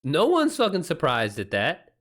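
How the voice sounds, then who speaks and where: Animated man chatting casually on a livestream. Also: fairly high pitch, moderate pace. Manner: informal, sweary, exclamatory. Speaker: streamer, author hosting a live chat. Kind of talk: casual livestream chat, reading and reacting to comments.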